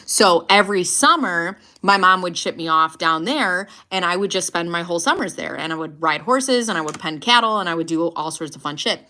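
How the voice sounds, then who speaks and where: A woman in her thirties from the United States, chatting on a livestream in a loose, rambling way with plenty of swearing. Her voice is bright and forward, sitting a little low for a woman. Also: animated, brisk, few pauses, a little hiss or hum. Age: 30s. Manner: chatty, swearing, laughing often. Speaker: livestream host. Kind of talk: casual livestream chat reading comments.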